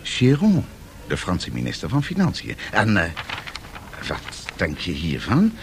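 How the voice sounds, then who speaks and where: Eager excitable finance man, swoopy intonation, bit hesitant, seventies radio drama. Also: low voice, measured pace, a little hiss or hum, exaggerated voice. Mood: emphatic. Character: excitable, impressed male financier citing press clippings. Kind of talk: 1970s Dutch radio drama acting.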